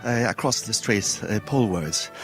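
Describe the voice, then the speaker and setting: A man from Poland introducing himself haltingly as a radio guest. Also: fairly low pitch, fairly bright tone, faint music behind.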